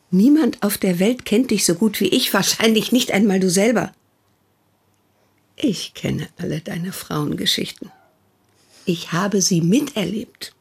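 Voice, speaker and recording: A woman's voice: lowish voice, creaky, a little mellow, slightly rough, full character voice. An actress playing an older, protective and prying sister who questions her brother reproachfully in a radio play. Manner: reproachful, probing, insistent.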